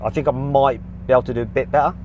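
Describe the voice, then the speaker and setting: A man with a Midlands accent presenting a car show, talking in an excitable, animated way and laughing in disbelief. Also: medium pitch, rapid-fire, muffled recording, slight background noise. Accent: English Midlands. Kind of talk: excitable on-camera commentary.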